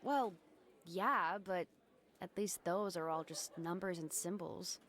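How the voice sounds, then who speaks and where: A woman's voice: lowish voice, slow, a bit bright, slight background noise. A woman voicing a cheeky, teasing teenage schoolgirl in an amateur game fandub. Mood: mildly grumbling. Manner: playful, teasing.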